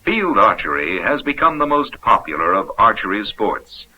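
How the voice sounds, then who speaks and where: A man's voice: fairly low pitch, sing-song, normal speed. An American man reading scripted narration for a 1970s instructional film in a folksy, upbeat, explanatory manner. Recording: dull, noisy vintage 16mm film soundtrack.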